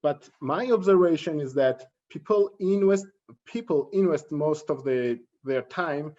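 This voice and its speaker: A slightly muffled, low-fidelity recording of a male tutor presenting a lesson. His voice sits a little high for a man. He speaks with a halting delivery, often repeating and correcting words. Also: expressive, a little mellow. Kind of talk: instructional presentation. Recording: dull, lo-fi audio.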